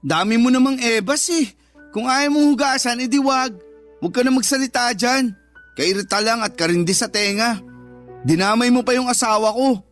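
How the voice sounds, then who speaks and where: Whiny, sulky lazy younger brother. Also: male voice, very high-pitched, moderate pace, fairly bright tone, faint music behind. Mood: defensive. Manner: whiny, irritated. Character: lazy, sulky, defiant younger brother, married mobile gamer. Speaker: voice actor in a radio drama. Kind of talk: Filipino radio drama dialogue.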